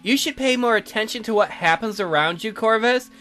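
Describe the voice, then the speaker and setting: A man's voice: sits high, fairly quick, pushed and bright, faint music behind. A man voicing a condescending, sardonic castle magician in a visual novel, haughty and scornful as he explains things. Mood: scornful explaining.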